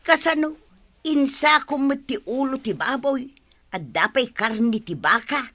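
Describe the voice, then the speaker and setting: A woman with an Ilocano Filipino accent playing a lively, inquisitive village housewife in an audio comedy. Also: sits high, a little slow, full character voice. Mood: surprised, inquisitive.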